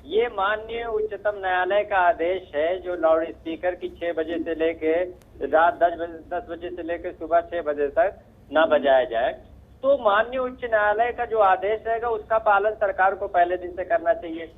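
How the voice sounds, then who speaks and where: High-pitched man on phone-like link. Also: normal speed. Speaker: opposition party spokesman, debate guest. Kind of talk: political argument on TV debate. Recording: thin phone-like remote link.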